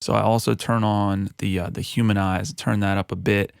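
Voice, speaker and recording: A man who is a music producer giving a relaxed, chatty walkthrough of a mixing session into a broadcast mic in his home studio. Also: on the low side, normal speed, gravelly, smooth, no echo. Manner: relaxed and conversational, with frequent fillers. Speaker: music producer and recording artist. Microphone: broadcast studio microphone.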